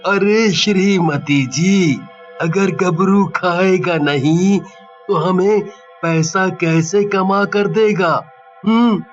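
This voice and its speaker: Worried village husband, male actor, bedtime story: high voice, slow grumbling delivery, plaintive and scolding. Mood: mild reproach. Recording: muffled lo-fi audio with music.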